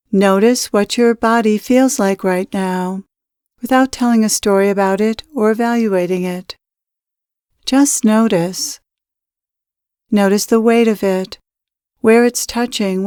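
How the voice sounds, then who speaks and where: A woman guides a spiritual meditation in a smooth, clean voice at a middling pitch. She speaks in deliberate, instructional phrases, each one measured out. The sound is dry, with no room around it. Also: a little mellow, no echo.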